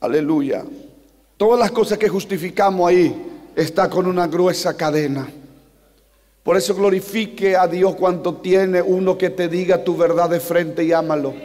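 A man in his forties from Paraguay with a Paraguayan Spanish accent, Guarani as his first language, preaching a passionate sermon into a handheld mic, climbing to shouts and slowing down for emphasis. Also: high-pitched. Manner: impassioned, rising to shouts, slows for emphasis. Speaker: pastor. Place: large church hall on a stage. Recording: long reverb of a large hall.

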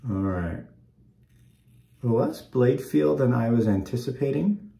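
A man's voice: mid-range voice, animated, slow, creaky, dark tone. A man in his forties, slightly stuffed up, rambling casually with lots of ums while he shaves and talks to the camera at home. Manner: rambling, many ums, easygoing. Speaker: wet shaving channel host. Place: small bathroom at home, indoors. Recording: echoey bathroom.

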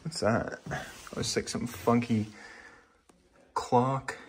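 A man's voice: medium pitch, steady tone, takes it slowly. Casual man exploring, noisy handheld recording. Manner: informal, awed, mildly sweary. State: getting over a cold with a lingering cough. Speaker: urban exploration vlogger. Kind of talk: casual walkthrough commentary. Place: inside empty rooms of a large abandoned house.

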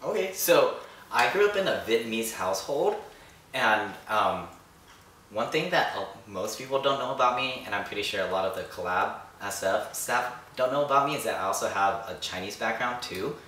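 A young man chatting on a panel, earnest and steady, with filler ums. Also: lowish voice, normal speed. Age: young adult. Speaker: panel guest. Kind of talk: casual chat. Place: echoey living room indoors. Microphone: far camera mic. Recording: roomy echo, distant mic, faint hiss.

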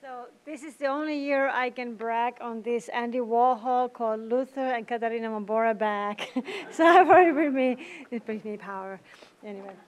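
A middle-aged Finnish woman lectures from a podium in a large auditorium, her voice sitting a little high. Her Finnish accent colours her English, and she keeps the talk conversational with wry little asides. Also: normal speed. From Finland. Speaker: guest lecturer. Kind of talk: university lecture. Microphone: podium microphone.

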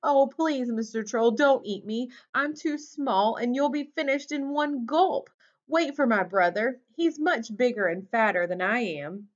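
A woman's voice: sits high, moderate pace, hardly any pauses, dull audio, voice pushed up, natural voice. A woman voicing a tiny, clever young male billy goat in a children's storybook, innocent and pleading.

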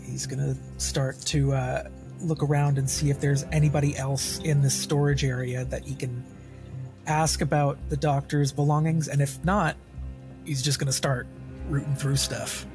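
A man's voice: mid-range voice, level tone, normal speed, over music. A man playing a tabletop game, casually narrating what his character is going to do. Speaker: player in a tabletop role-play podcast.

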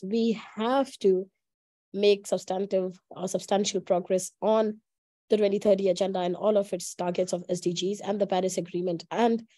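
A woman's voice: medium pitch, warm tone. A young woman giving earnest panel remarks as a youth advocate on a video call. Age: young adult.

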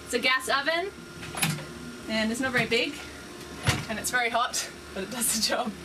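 A woman's voice: fairly high pitch, normal speed, background noise. Chatty upbeat woman on a boat. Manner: chatty and upbeat, explaining gear with frequent ums. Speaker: vlogger giving a boat tour. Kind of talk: casual walkthrough vlog. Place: small cabin of a sailboat, indoors. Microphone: handheld camera close by.

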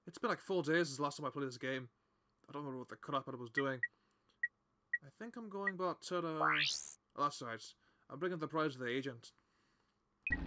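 A man's voice: on the high side, noisy background. A young man in a put-on Irish accent, rambling and correcting himself as he chats through a game. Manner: rambling, self-correcting chatter. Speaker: gameplay commentator. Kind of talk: casual gameplay commentary. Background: game music.

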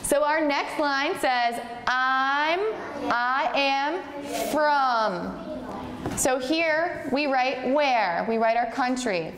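A woman's voice: sits high, extremely slow, a crowd in the background. A woman in her twenties from the United States teaching English to young children, patient and encouraging, often praising. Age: 20s. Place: classroom in front of a whiteboard. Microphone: far camera. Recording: echoey room with long reverb and noise.

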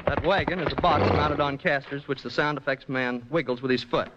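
A man's voice: mid-range voice, brisk. A man giving scripted explanations as a guest expert on a 1940s radio show. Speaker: sound effects department head, guest explainer. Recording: dull, noisy old radio recording.

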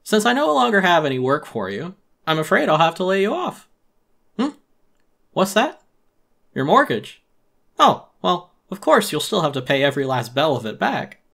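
Casting: man as a smug, smooth-talking boss creature from a game story, higher-pitched, condescendingly polite. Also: sing-song, moderate pace, pauses often, fairly bright tone, heavily acted. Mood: smug, feigned politeness.

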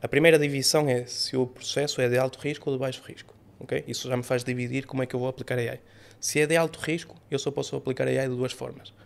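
A man in his thirties, not a native Portuguese speaker, explaining ideas in an animated podcast interview. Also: mid-range voice, fast talker, gravelly. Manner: animated, gestures with both hands while explaining. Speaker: AI company CEO guest. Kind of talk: conversational interview answers. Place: podcast studio room with sofas. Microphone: studio mic on boom arm.

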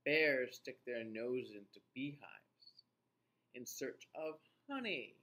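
A man in his forties reading a picture book aloud to young children in an expressive, teacher-like way, with playful asides. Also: mid-range voice, slow. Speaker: children's read-aloud host. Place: small indoor room.

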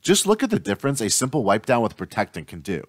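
A young adult man giving a steady, scripted tutorial voice-over as the host of an outdoors channel. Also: mid-range voice, quick pace, no echo, studio quality. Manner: steady instructional delivery.

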